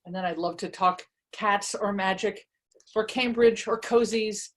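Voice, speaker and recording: A woman's voice: medium pitch, steady tone, unhurried, fairly bright tone. Chatty, self-deprecating woman author. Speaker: author presenting and reading from their own book. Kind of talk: casual book talk and read-aloud.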